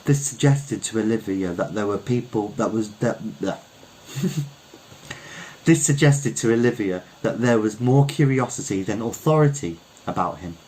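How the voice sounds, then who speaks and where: A man's voice: on the low side, animated, moderate pace, a little mellow, a little hiss or hum, rough recording. A man reading a literary short story aloud as its narrator. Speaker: story reader.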